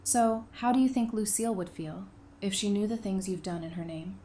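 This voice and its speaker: A woman's voice: mid-range voice, moderate pace, dark tone, natural voice. A woman playing a guarded but compassionate survivor in an audio drama, speaking in a dry, blunt way. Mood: dry, challenging.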